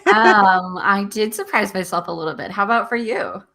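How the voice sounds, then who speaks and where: A woman's voice: mid-range voice, moderate pace, bright, forceful tone. A woman co-hosting a live session, talking earnestly and reflectively about her inner experience.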